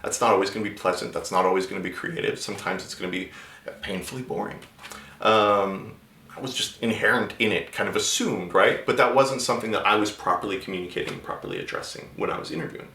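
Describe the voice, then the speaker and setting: A man's voice: lowish voice, quick pace, pushed and bright, reverberant, a little hiss or hum. A man giving casual, conversational job-search advice in a vlog, often restarting his sentences. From native English speaker. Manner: conversational, many restarts and filler words.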